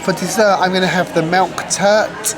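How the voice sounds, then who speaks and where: A woman's voice: on the low side, steady tone, normal speed. A woman vlogging a casual food review, chatty and frank with a light joking touch. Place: busy restaurant. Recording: loud crowd chatter behind. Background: restaurant crowd chatter.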